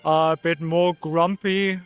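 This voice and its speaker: A calm man gives a street-interview answer in a smooth, level voice that sits slightly high. Faint music runs under him. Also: measured pace.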